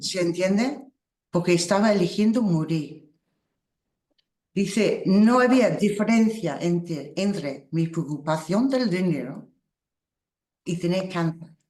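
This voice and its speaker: Slow, reflective woman, Spanish. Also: fairly low pitch, expressive, a little mellow. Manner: slow, halting, reflective, with small laughs. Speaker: workshop teacher. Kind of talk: spiritual workshop talk. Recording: roomy echo.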